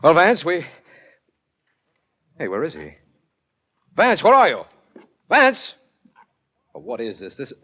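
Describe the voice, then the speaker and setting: An actor playing a bluff, puzzled official, the detective's sidekick, in a 1940s radio drama, blunt and questioning. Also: male voice, fairly high pitch, huge pitch swings, slow pace, long pauses, fairly bright tone, exaggerated voice. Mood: baffled. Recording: dull vintage radio recording.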